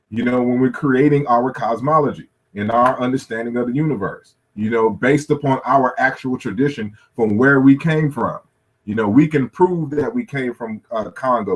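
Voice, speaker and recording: A man's voice: medium pitch, husky, low-quality recording. A man lecturing through slides at a workshop, thinking aloud with many "you know" fillers and restarts. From United States.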